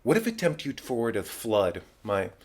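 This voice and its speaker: A man's voice: mid-range voice, huge pitch swings, normal speed. A man voicing an anxious, loyal companion warning his prince, earnest and theatrical, in a dramatic Shakespeare audiobook. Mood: alarmed.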